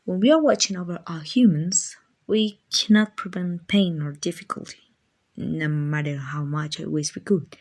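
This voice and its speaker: A woman voicing a gentle, doting, slightly clumsy guardian angel in a soft-spoken ASMR comfort roleplay, affectionate, hesitant and apologetic. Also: low voice, normal speed. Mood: fond, explaining.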